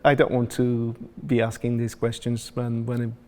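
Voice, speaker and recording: A man hosting a company interview series, upbeat and quick to react to his guest. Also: medium pitch, flat delivery, loud music behind the voice. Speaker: interview host.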